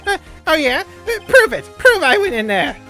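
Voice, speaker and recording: A man voicing a nervous, easily indignant murder witness in a video game, stammering and spluttering excitably. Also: very high-pitched, normal speed, soft background music, voice pushed up, heavily acted. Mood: indignant, panicked. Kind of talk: hammy video game character voice.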